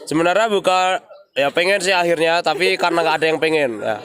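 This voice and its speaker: A man's voice: a little high, brisk, a bit bright. A young adult man introducing himself in a casual group interview, telling stories in a loose, jokey way and laughing often.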